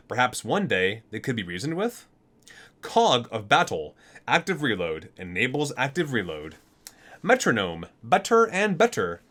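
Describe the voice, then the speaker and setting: A man's voice: a little high, a bit bright. A man hosting an ASMR video, reading guidebook entries aloud and sometimes stumbling and correcting himself.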